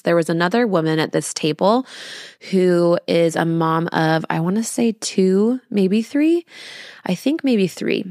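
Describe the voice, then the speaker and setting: Woman in her thirties, podcast host, gravelly fry, dry close sound, confiding, emphatic. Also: lowish voice, expressive, darkish tone, no room sound. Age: 30s. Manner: emphatic, with rhetorical questions and drawn-out words. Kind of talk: casual, confiding monologue.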